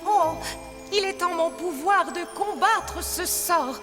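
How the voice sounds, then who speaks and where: A woman playing a kindly, flustered good fairy in a cartoon fairy tale, speaking gently and hesitantly. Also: very high-pitched, slow pace. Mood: earnest, hesitant. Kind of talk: animated fairy tale dub. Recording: long hall reverb, music underneath. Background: music.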